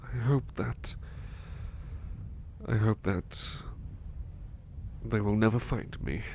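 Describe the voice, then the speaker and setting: A man playing a devoted, anxious butler with a haunted past in an intimate ASMR roleplay, speaking softly and haltingly with stammers. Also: lowish voice, slight background noise. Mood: vulnerable, fearful. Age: middle-aged or younger adult. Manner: hesitant, stammering, soft-spoken, drifting into whispers.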